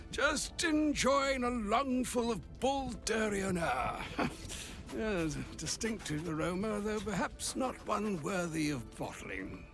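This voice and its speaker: Jolly old wizard, high voice, slow and rambly, music behind. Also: male voice, fairly bright tone, full character voice. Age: older. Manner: chuckling, hesitant. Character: genial old male wizard, absent-minded and chatty.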